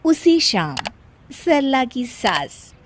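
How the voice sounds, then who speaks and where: High-voiced woman narrating a story. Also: sing-song, extremely slow, slight background noise.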